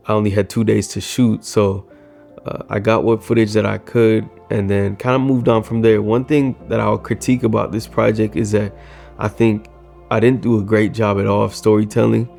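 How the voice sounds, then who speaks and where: A man, a native Swahili speaker, reflects on his filmmaking in a casual vlog, candid and thoughtful about his own shortcomings. His voice sits low with a gravelly fry and little rise or fall in pitch. Faint music plays behind him. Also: darkish tone. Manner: thoughtful, candid self-critique.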